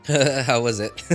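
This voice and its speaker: A man talking casually on a live game stream in a rambling, halting way, often correcting himself. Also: medium pitch.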